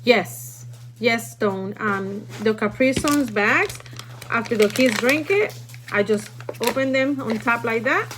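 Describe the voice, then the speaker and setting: A woman's voice: a little high, lively intonation, slow, a bit bright. A woman from Puerto Rico with a Puerto Rican accent, a native Spanish speaker, chatting and instructing casually as host of a livestream. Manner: chatty, instructive, often tags sentences with "okay?". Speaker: livestream host teaching food storage. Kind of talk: casual livestream chat and demonstration. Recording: noisy room, live stream.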